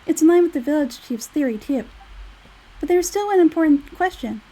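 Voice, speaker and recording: Squeaky, high-pitched little fairy sidekick. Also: female voice, animated, a little fast, warm tone, poor audio. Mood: curious, puzzled. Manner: exclamatory, talks in third person. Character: squeaky, childlike little fairy companion, curious and blunt. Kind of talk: video game character dialogue read aloud.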